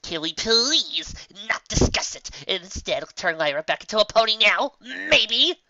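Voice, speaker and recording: Storybook pony magician, female, proud and easily exasperated, forceful delivery, played by a man, slightly dull recording. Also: high voice, lively intonation, a little slow, gravelly, no room sound, voice pushed up, exaggerated voice. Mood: pleading. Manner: emphatic, repetitive, exasperated denials. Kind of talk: audiobook character acting.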